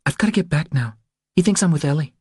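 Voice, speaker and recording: A man voicing an anxious young wife in an audiobook, hushed and hesitant, with a husky tone. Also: fairly high pitch, expressive, quick pace, no room sound, clean studio sound, pitched above the performer's own voice. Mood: anxious, urgent.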